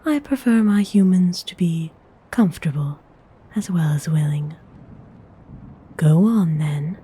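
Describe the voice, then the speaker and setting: A courteous vampire noblewoman, acted by a woman for an ASMR roleplay, doting yet in command. Her voice is very breathy and often drops to a whisper, and it sits on the low side. She speaks slowly and formally, like someone used to being obeyed. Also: lively intonation, heavily acted. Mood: hospitable, instructing. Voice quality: soft, breathy, mostly whispered. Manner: genteel, unhurried, formal wording.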